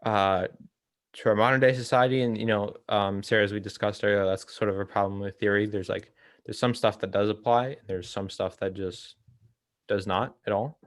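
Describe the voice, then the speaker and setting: A young man co-hosting a casual discussion, talking in a hesitant, halting way. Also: on the low side, fairly even intonation, no room sound, clean studio sound. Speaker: co-host of a reading discussion.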